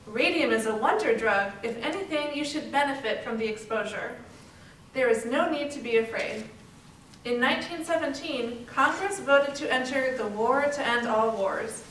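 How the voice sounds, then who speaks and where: A woman's voice: sits high, fairly bright tone. A woman playing a young, eager dial painter recalling her factory job in a readers theater piece. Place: library readers theater performance, distant microphone. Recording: roomy, distant mic, noisy stage recording.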